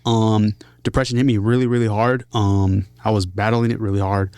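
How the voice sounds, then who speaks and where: A man speaking casually and openly as an interview guest, earnest, in short halting phrases. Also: on the low side, little pitch movement, a little mellow, clean tone, no echo. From San Diego area, United States. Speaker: podcast guest, musician. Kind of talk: casual, candid interview talk.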